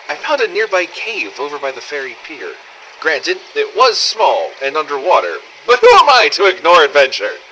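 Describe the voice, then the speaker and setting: A man playing a weary, sardonic, foul-mouthed survivor of a zombie apocalypse, recording expressive first-person audio logs in a game-style drama. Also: mid-range voice, slight background noise, low-quality recording. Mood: pleased, wondering. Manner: sardonic, expressive, emphatic swearing.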